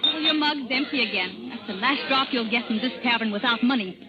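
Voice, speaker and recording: An actress playing a jealous, sharp-tongued young tavern wench, petulant and scolding in 1930s radio drama style. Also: female voice, fairly high pitch, a little fast, close to an everyday voice. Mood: jealous, scornful. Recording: muffled 1930s radio, loud crowd behind.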